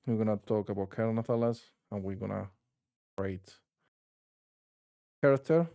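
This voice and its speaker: A man's voice: on the low side, moderate pace, vocal fry, warm tone, no echo, clean studio sound. A man from Spain with a strong Spanish accent, hosting his tabletop gaming channel in casual, rambling talk with many restarts. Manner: rambling, frequent restarts and fillers. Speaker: channel host.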